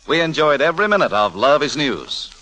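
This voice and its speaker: A man announces in scripted 1940s radio style. His voice is on the high side, with music playing clearly behind him on a vintage broadcast recording. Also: very animated, fairly quick, sharp tone, low-quality recording.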